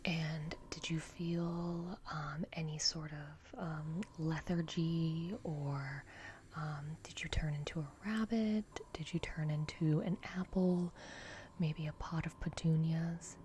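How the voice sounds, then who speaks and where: A woman doing a gentle ASMR roleplay as a reassuring technician, speaking in an unhurried, breathy whisper. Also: deep voice, fairly even intonation, slight background noise. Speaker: ASMR roleplay performer playing a repair technician.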